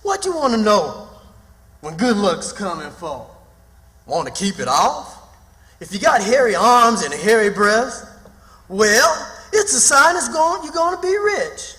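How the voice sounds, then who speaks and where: A good-humoured, superstitious Southern man on the run in a radio drama. He drawls slowly in folksy dialect, high and swooping, with long hall reverb. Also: sing-song, sharp tone, full character voice. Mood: knowing, grumbling. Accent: American South. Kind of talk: radio theatre acting.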